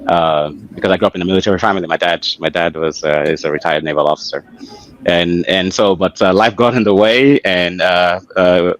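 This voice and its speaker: A man's voice: low-pitched, fairly even intonation, brisk. A middle-aged man with a Nigerian accent, speaking as an interview guest in a reflective, hesitant way, often repeating words as he searches for the next. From Lagos, Nigeria. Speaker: interview guest, author and engineer. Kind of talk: conversational interview storytelling.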